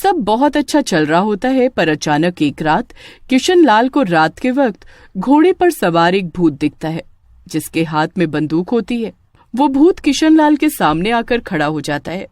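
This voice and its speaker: Female storyteller: lively, swooping intonation, scripted narration, very dry close recording. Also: mid-range voice, unhurried, dry recording.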